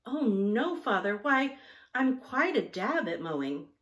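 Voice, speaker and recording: A woman's voice: fairly high pitch, sing-song, very slow, a bit bright, reverberant, pitched above the performer's own voice, heavily acted. A woman voicing a spirited, breezy, self-assured tomboy girl from a storybook. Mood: breezy, proud.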